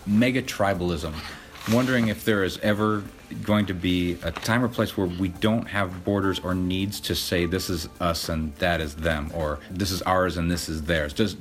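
A man's voice: sits low, fairly even intonation, normal speed. A man interviewing, asking curious questions and thinking aloud.

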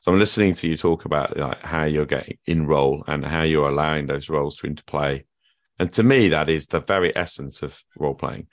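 This is a man with an extremely low, deep voice, hosting a podcast conversation. He talks reflectively and thinks aloud at length as he works through his ideas. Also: fairly quick. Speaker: podcast host. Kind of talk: conversational interview.

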